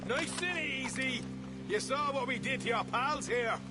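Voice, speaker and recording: A man's voice: extremely high pitch, measured pace. A man playing a cocky, eager young male outlaw in a video game, brash and boastful in manner. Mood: excited shouting. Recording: loud music behind the voice.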